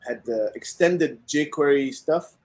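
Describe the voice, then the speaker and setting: A man's voice: a little high, slow. A man from Kenya, a guest on a podcast, speaking casually and hesitantly with many fillers. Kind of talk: casual conversation.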